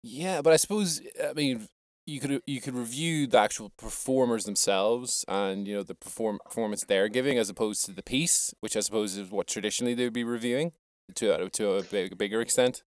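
A man's voice: mid-range voice, a little fast, no echo. A man hosting a casual interview, curious and reactive as he asks questions. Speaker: interviewer, host.

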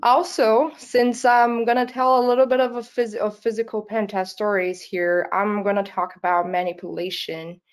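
A woman's voice: medium pitch, level tone, normal speed, few pauses. Nervous Brazilian woman giving a talk. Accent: Brazilian Portuguese. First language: Portuguese. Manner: nervous, many fillers and restarts, laughs often. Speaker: conference speaker. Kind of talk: conference talk.

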